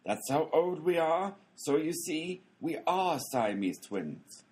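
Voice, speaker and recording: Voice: mid-range voice, big pitch swings, slow pace, a little mellow, breathy edge, full character voice. A performer playing a sarcastic, impatient postal clerk with a flippant, theatrical delivery in a radio comedy. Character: sarcastic, cranky postal clerk, one of conjoined twins.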